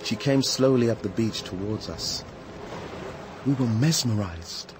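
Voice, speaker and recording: An actor playing an earnest, troubled British activist, confiding and insistent, in a radio drama. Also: male voice, fairly low pitch, a little slow, music in the background, lightly acted. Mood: troubled, bitter. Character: earnest, troubled male anti-nuclear activist living in a caravan.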